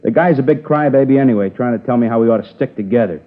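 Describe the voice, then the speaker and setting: A man's voice: mid-range voice, rapid-fire, lightly acted. An actor playing a sullen, aging male ex-convict who answers police questions in a whiny, evasive, complaining way in a 1950s radio crime drama. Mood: defensive. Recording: tinny narrow-band old radio transcription.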